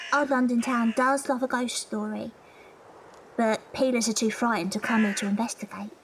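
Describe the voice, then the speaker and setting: A woman playing an earnest, loyal young Victorian London maid and investigator, deferential and breathy, in an audio drama. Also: fairly high pitch, steady tone, normal speed, noisy background, natural voice. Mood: curious, urgent.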